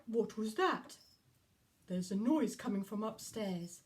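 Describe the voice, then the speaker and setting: Woman putting on a big gruff Daddy Bear, slow and low. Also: expressive. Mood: confused. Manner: slow, booming delivery. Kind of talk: children's puppet-show storytelling.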